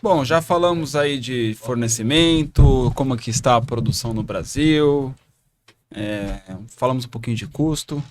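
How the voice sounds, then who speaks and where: Guy hosting a podcast, mid-pitch voice, friendly, keeps saying right?. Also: moderate pace, a little hiss or hum. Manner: friendly, leans on "né?" and "aí". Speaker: podcast host. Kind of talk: casual podcast wrap-up.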